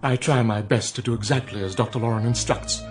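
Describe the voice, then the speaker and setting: A man's voice: medium pitch, fairly even intonation, fairly quick, faint music behind, close to an everyday voice. An actor playing a formal, evasive male robot butler in a radio drama, speaking stiffly and deferentially.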